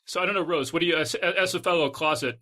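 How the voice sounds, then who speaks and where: A man hosting a casual podcast interview, asking his questions in a hesitant, halting way. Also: a little high, sharp tone. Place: closet at home.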